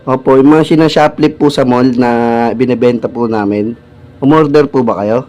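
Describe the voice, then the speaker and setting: A man's voice: mid-range voice, moderate pace, a little mellow. A Filipino man on a casual prank call, posing as a delivery rider with polite, hesitant patter. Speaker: prank-calling vlogger. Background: music.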